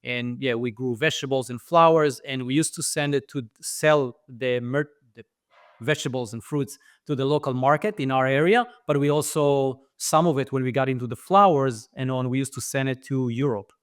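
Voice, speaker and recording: A man's voice: medium pitch, fairly even intonation, moderate pace, clear, resonant tone, dry recording, studio quality. A middle-aged man with an Israeli accent, a podcast guest telling his story with many fillers and restarts. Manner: thoughtful, frequent fillers and restarts. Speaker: guest, franchise founder. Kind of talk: podcast interview.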